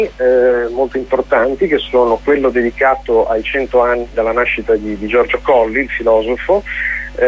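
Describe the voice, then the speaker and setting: A man with an Italian accent answers in an informal radio interview as a festival director, speaking haltingly and often repeating words as he searches for phrasing. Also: mid-range voice, breathy edge. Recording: dull, lo-fi radio interview audio.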